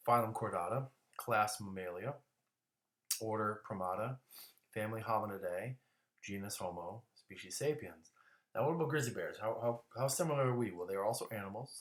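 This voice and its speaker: A man's voice: on the low side, roomy sound. A man teaching a classroom lecture in a casual way, often restarting words and coughing now and then.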